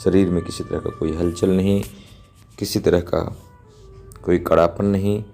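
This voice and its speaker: Very slow, deep-voiced man guiding relaxation. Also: gravelly. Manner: calm, measured, instructing in short phrases. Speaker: yoga nidra instructor. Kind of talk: guided relaxation meditation with slow, spaced instructions. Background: instrumental music.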